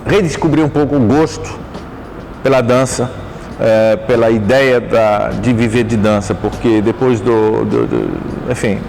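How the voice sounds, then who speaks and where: Halting, reflective middle-aged man. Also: medium pitch, steady tone, gravelly, a little mellow, slight background noise, rough recording. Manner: thoughtful, halting, frequent restarts and self-corrections. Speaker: dance teacher and performer. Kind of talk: reflective life-story interview.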